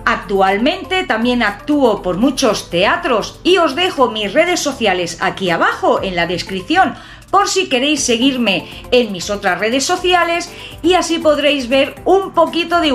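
A woman in her fifties talks straight to her webcam, warm-humoured and jokey, her southern-tinged accent inherited from her father. Her voice sits a little high and swings widely as she tells her stories. Soft music plays faintly beneath. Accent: southern Spanish-sounding, from an Extremaduran father. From Catalonia, Spain. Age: 50s. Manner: chatty, expressive, jokey, lively hand gestures. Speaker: urban exploration vlogger. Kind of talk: personal talk to camera. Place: small home room with shelves and a computer.

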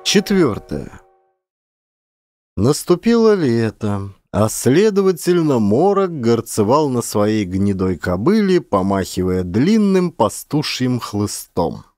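A man narrates literary fiction like a one-actor audio play. His mid-pitched voice moves widely up and down, leaning on key words and pausing for effect. The sound is dry, with no room around it. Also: no echo. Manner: expressive storytelling with shifting emphasis and pauses. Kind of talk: dramatic scripted literary narration.

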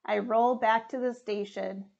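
A young woman reads a picture book aloud for children. Her voice is high and she takes her time, reading deliberately and expressively. The indoor recording sounds slightly dull and lo-fi. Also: fairly even intonation, vocal fry, darkish tone. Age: young adult. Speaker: firefighter reading a storybook. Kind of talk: children's storybook read-aloud. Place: small room indoors.

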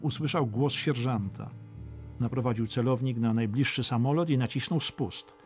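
A man's voice: mid-range voice, normal speed, a little mellow, slightly rough. A man reading a scripted, dramatic history audiobook as narrator. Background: music.

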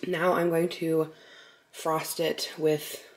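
Chatty lower-voiced woman baking at home. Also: level tone, takes it slowly, low-quality recording. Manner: chatty, narrates each step, giggles often. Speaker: lifestyle vlogger. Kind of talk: casual baking walkthrough. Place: home kitchen.

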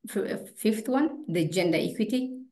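A woman doctor introducing herself on a panel, careful, pausing with fillers. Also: medium pitch, animated, dark tone. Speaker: medical doctor, panel guest. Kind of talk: introduction on a video call.

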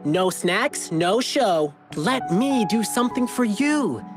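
An actor playing an earnest, kindhearted young male performer in a video game, with a boyish, expressive delivery. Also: sits high, slow pace. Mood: eager, polite. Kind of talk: animated game dialogue. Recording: game music behind the voice.

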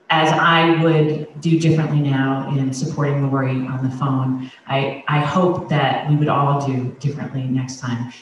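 A woman's voice: rumbling low, far from the mic, a little hiss or hum. A middle-aged woman pastor preaching a conversational sermon into a handheld microphone, often restarting her sentences. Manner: gestures broadly while speaking. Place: large wood-paneled church sanctuary. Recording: echoey church sanctuary, voice from afar.

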